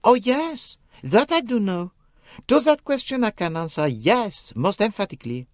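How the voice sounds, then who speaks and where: A man's voice: sits high, very animated, normal speed, no room sound, pitched above the performer's own voice. A man voicing a young French lady's maid with a French accent, talking in a pleading, emphatic way with broken English phrasing. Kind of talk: audiobook character voice.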